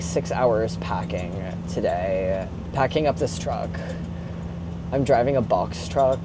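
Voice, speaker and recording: A young man chatting casually and confessionally while driving a truck, in an informal, sweary, rambling way peppered with "like". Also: mid-range voice. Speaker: vlogger. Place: inside a moving box truck. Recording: clear vehicle and road noise. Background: engine and road noise.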